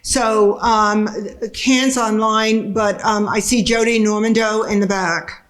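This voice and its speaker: A woman chairing a school board meeting, talking in a measured, halting way with many fillers. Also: mid-range voice, steady tone, fairly bright tone. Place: meeting room.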